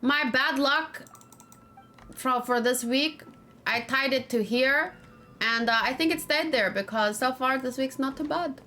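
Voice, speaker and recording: A woman's voice: sits high, a little slow, sharp tone. A woman chatting casually as she plays a game on stream, thinking aloud in short, musing fragments. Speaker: gaming livestream host. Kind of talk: casual let's play commentary. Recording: background noise with keyboard typing. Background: faint noise, keyboard typing.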